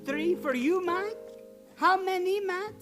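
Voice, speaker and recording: A woman's voice: extremely high pitch, slow, music in the background. A woman playing a sly, taunting poker player in a western radio play, teasing in stilted foreign phrasing.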